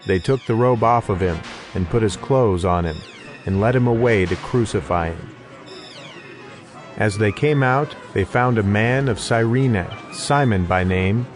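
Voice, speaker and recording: A man giving a scripted narration of an audio Bible. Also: on the low side. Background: music behind the voice.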